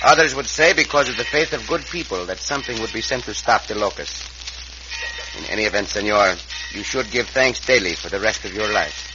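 An actor playing a suave, gallant Mexican cowboy hero in an old radio western, with a Mexican accent and courtly, formal diction. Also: male voice, on the low side, brisk, close to an everyday voice. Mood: solemn. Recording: hissy, dull vintage radio recording.

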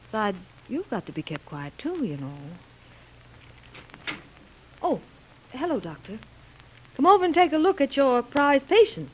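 A woman's voice: high voice, sing-song, measured pace, pauses often. An actress playing a capable, caring young woman nursing patients in an old jungle radio serial. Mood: reassuring. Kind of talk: old-time radio drama acting. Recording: muffled, noisy old radio recording.

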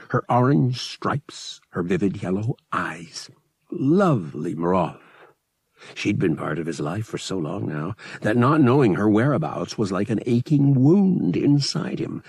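A man narrating a science fiction audiobook as a scripted, expressive dramatic story. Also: lowish voice, gravelly, breathy edge, no echo.

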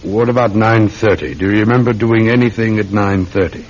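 An actor playing a dutiful, methodical British police sergeant in an old radio drama, polite and measured. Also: male voice, lowish voice, close to an everyday voice. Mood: patient, probing. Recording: dull, noisy old radio recording.

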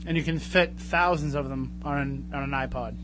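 A man's voice: mid-range voice, moderate pace. A man hosting a radio show, chatting casually with guests and callers. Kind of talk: casual interview chat. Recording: clear background noise and hum.

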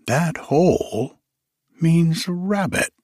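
A man voicing a slow, simple, cheerful male bear who hums in a sing-song way as he reads a storybook aloud. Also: fairly high pitch, expressive, darkish tone, dry recording, clean studio sound, voice pushed up, heavily acted. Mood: musing. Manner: slow, sing-song humming, puzzled musing.

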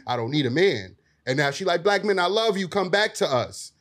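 A man's voice: high voice, sing-song, brisk, fairly bright tone. A man speaking bluntly and with profanity, often cutting in during a heated panel debate.

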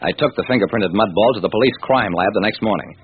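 An actor playing a wry, hard-boiled private detective narrating an old-time radio drama, on a tinny, noisy recording. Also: male voice, on the low side, fast talker, close to an everyday voice.

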